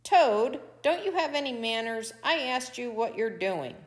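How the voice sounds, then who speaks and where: A woman's voice: extremely high pitch, huge pitch swings, measured pace, darkish tone, long reverb tail, voice pushed up, full character voice. A woman voicing an arrogant, bullying coyote in a folk tale, with a demanding, exclamatory manner that can tip into frantic pleading. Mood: demanding, menacing.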